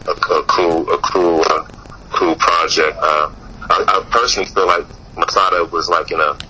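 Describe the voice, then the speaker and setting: A man's voice: extremely low pitch, pushed and bright, a little hiss or hum, low-quality recording. A young man from Mississippi, a musician guest in a casual interview, talking loosely with many fillers and restarts.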